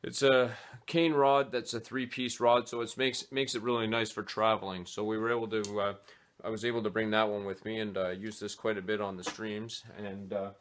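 Casting: man in his forties, lowish voice, relaxed, unscripted talk full of "uh" pauses, recorded close on a webcam in a small home office. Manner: hesitant, many "uh" fillers. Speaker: fly fishing vlogger. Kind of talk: casual gear talk to camera.

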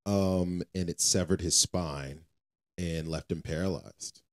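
A man's voice: low-pitched, steady tone, slow, dry recording, clean studio sound. A man co-hosting a faith podcast, talking casually and repeating phrases for emphasis. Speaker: podcast co-host.

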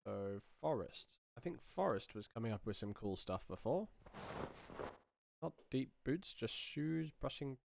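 A man in his thirties, a sound designer, thinking aloud casually in low-key short fragments as he works in a small studio. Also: expressive, a little slow, gravelly, noisy background. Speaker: sound designer, tutorial host. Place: small home studio room indoors.

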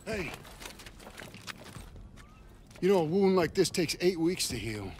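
An actor playing a gruff, contemptuous American World War II sergeant in a video game, sardonic and intimidating. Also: male voice, high voice, very animated, brisk, heavy background noise. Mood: scornful, menacing. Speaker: army sergeant. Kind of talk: cinematic video game acting.

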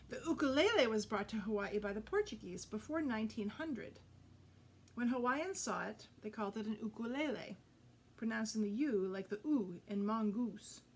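A woman in her fifties reading a children's story aloud with expressive, deliberate phrasing. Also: fairly high pitch, slight background noise. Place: indoor room with green curtain backdrop.

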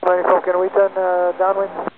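A man's voice: high voice, monotone, quick pace. A man speaking as a pilot, making brief readbacks over aviation radio. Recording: noisy, tinny cockpit radio transmission.

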